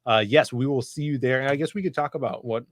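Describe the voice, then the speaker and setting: A man co-hosting a casual comics livestream, chatty and relaxed, reading out viewer messages. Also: mid-range voice, quick pace, dry recording, clean studio sound. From Chicago area. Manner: laid-back, chatty, reads super chats aloud.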